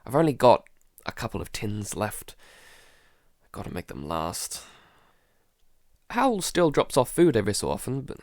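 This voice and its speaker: Low, gravelly, weary shut-in played by a man. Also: very animated, darkish tone, no echo, studio quality. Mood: weary, wary. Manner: halting, sighing, low-key and weary. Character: Jason, anxious, sleepless man barricaded at home, keeping a diary. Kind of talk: audio drama, first-person diary monologue.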